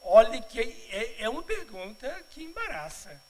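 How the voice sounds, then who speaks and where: A middle-aged man from Bahia, Brazil, giving a spiritual lecture at a congress, mixing humorous asides with measured, didactic explanation. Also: extremely high pitch, huge pitch swings. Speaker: congress speaker. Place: congress auditorium.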